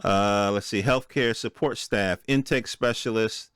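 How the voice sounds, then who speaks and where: A middle-aged American man with a low, resonant voice, recorded close and dry. He talks casually as a solo podcast host, reading through notes with offhand asides and turning to his listeners with rhetorical questions. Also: measured pace, a bit bright, clean tone, no room sound. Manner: conversational, checks in with listeners using rhetorical questions. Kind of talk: informal solo commentary, reading from a list with asides.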